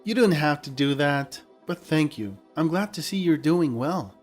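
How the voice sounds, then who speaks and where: A man's voice: fairly high pitch, animated, moderate pace, soft background music. A man voicing a friendly female surgeon who sounds pleasantly surprised, in a narrated story. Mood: pleased.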